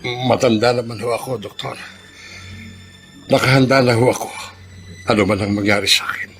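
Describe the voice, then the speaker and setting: A man's voice: medium pitch, fairly even intonation, moderate pace, fairly bright tone, soft background music, lightly acted. A man acting a resigned, self-sacrificing elderly sick father in a Filipino radio drama, humble and hesitant. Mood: resigned, anxious. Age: older.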